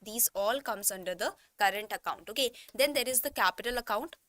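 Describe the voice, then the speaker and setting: A woman's voice: on the high side, fairly quick, a bit bright, dry recording. A woman with an Indian English accent teaching an online exam-prep lesson, restating each term and checking in with her students.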